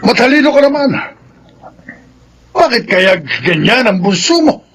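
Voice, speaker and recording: A husky, rather high-voiced older man in a radio drama. He probes and bosses with exasperation, as a demanding family elder. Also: lively intonation, measured pace, a bit bright, full character voice. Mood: insistent. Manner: probing, exasperated, bossy. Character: demanding, status-minded older male relative. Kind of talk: Filipino radio drama acting.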